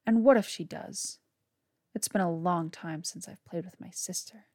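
Woman narrator doing a taunting vampire son; low, gravelly, dry close sound. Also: moderate pace, darkish tone, dry recording. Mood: mocking. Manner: taunting, sneering. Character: cruel young male vampire, mocking and arrogant.

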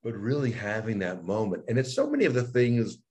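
Guy voice coach explaining stuff, lowish voice, lots of emphasis and demos. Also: a little fast. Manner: animated, demonstrative, varied emphasis. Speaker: voice and speech coach. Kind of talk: interview guest explaining.

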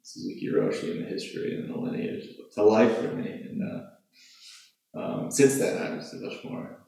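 A man sharing in a group circle, halting and earnest with many pauses and fillers. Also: fairly low pitch, wildly swooping pitch, vocal fry, mellow. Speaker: sangha member sharing. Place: meditation hall. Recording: very echoey hall.

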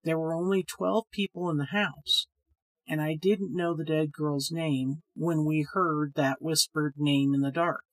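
A woman voicing a sad, hesitant man who tells a ghost story in a grave, measured way. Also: sits low, fairly even intonation, low-quality recording. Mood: earnest.

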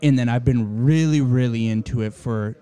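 A man in his thirties from Michigan, hosting a casual podcast interview in a relaxed, easygoing way. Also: medium pitch, moderate pace, smooth. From Michigan, USA. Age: 30s. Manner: easygoing, laughs often, says "man" a lot. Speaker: podcast host.

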